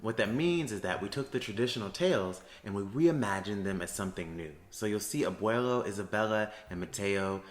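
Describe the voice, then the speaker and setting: A man's voice: fairly low pitch, expressive, fairly quick, hardly any pauses. A man working as a theater education associate gives upbeat, friendly explanations to students. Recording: roomy echo with soft music.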